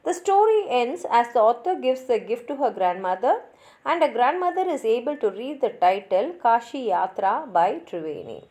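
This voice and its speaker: A woman with a fairly high voice presents a scripted literature lesson. Her intonation is very animated, rising and falling widely as she narrates the summary for learners. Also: moderate pace.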